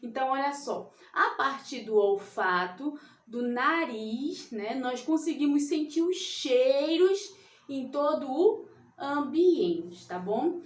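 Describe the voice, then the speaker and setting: Woman, teacher for young children, drawn-out patient delivery, high voice. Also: expressive. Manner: slow, drawn-out, sing-song teaching to young kids. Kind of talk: children's science lesson. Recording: echoey room.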